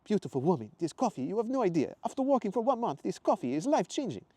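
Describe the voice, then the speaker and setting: A man voicing an excitable, sincere Italian traveler with an Italian accent, earnest and effusive, in a storybook reading. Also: high voice, fast, no echo, voice pushed up. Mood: sincere, grateful.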